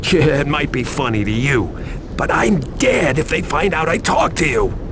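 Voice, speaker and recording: A man's voice: on the high side, sing-song, normal speed, noisy background. An actor playing a nervous, put-upon, wisecracking male police detective in a video game. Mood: nervous, urgent. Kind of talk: video game cutscene acting. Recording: loud music behind the voice.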